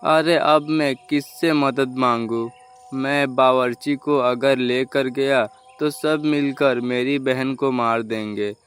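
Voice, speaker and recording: A man plays the youngest crow brother in a children's cartoon, kind and worried. He pleads earnestly in a clear, smooth voice at a slow pace. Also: medium pitch, fairly even intonation, a bit bright. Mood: desperate. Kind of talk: Hindi children's cartoon dialogue.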